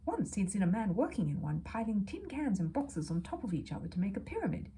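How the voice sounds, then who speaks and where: A woman reading a children's book aloud as a storyteller, expressive and dramatic, dropping into hushed asides. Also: mid-range voice, quick pace, slightly rough. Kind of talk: expressive children's audiobook narration.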